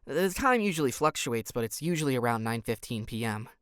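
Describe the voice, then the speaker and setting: An actor playing an earnest, guarded, sharp-minded teenage boy in an audio drama. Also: medium pitch, big pitch swings, brisk, clear, resonant tone, no room sound, clean studio sound. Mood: earnest, deducing.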